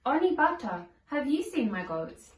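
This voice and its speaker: Female picture-book reader. Lively, swooping delivery of dialogue, recorded in an echoey room a little off the mic. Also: sits high, moderate pace, far from the mic. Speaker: storybook reader. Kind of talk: children's read-along storytelling with acted dialogue.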